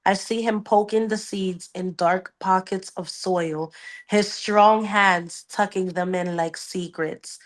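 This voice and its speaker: A woman's voice: medium pitch, fairly even intonation, slow. A woman podcast host reading a novel aloud and dropping into casual, blunt banter. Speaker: book club podcast host.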